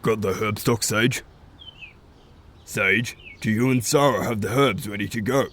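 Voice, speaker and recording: A man's voice: fairly low pitch, unhurried, a bit bright, a little hiss or hum. Man playing firm, commanding pack leader. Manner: commanding, formal. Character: firm, authoritative male animal pack leader. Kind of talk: audio drama acting.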